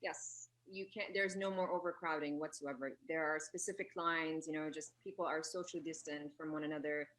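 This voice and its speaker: A woman answering interview questions, explaining earnestly, often pausing and correcting herself. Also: deep voice, steady tone, a bit bright, poor audio. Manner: earnest, with many fillers and self-corrections. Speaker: radio guest.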